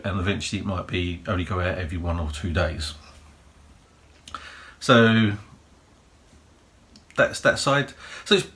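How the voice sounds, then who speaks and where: A man with a croaky voice, hosting a prepping channel and giving rambling practical advice full of fillers and "you know"s. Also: fairly low pitch, a bit bright. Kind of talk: casual advice monologue.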